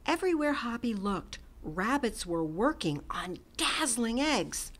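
Woman reading a children's book aloud. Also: on the high side. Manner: gentle, expressive, slow and lively. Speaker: storybook reader.

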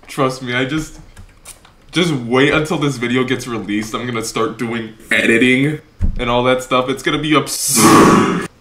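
A man in his twenties hosting a reaction video in casual chat, excitable and repeating his phrases. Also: medium pitch, measured pace. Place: small room indoors. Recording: roomy sound, faint noise.

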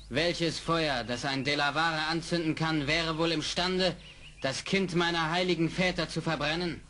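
Bright, forceful proud young warrior. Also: male voice, a little high. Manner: declamatory, ceremonial. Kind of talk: 1970s German radio play acting. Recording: noisy old radio play recording.

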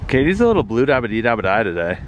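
A young man chatting on a travel vlog with dry, deadpan humor, recorded on a handheld camera. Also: medium pitch, racing, very noisy. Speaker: vlogger. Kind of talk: casual travel vlog chat. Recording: camera audio with loud music behind.

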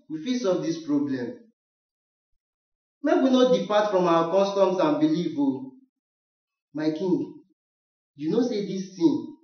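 A student actor, a man with a Nigerian accent, playing an insistent traditionalist village chief who pleads in a declamatory way in a radio drama. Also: a little high. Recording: dull, echoey, distant from mic.

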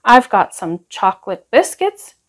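A woman's voice: mid-range voice, very animated, a little slow. A woman voicing a friendly storybook animal in a cheerfully obliging manner. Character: friendly, agreeable animal friend offering treats.

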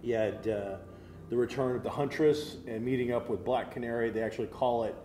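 A man's voice: mid-range voice, fairly even intonation, fairly quick, warm tone. An American man in his forties chatting casually as co-host of a panel show, opinionated and jokey. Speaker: co-host of a geek culture show. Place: indoor room set, seated around a table. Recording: roomy sound, faint noise.

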